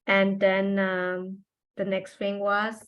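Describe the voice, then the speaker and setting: A woman in her forties whose first language is German speaks English in a thoughtful, halting way, pausing often to find her words. Her voice sits at an ordinary pitch for a woman. The recording sounds a bit dull and muffled. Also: monotone, smooth. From Austria and Taiwan. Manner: reflective and halting, with many pauses and fillers. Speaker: podcast guest. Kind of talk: personal interview conversation.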